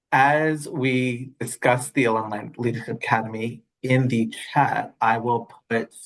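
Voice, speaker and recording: A man's voice: medium pitch, low-quality recording. Polite man hosting an online call. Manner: polite, with many "uh" fillers. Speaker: alumni relations director and host. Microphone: video call.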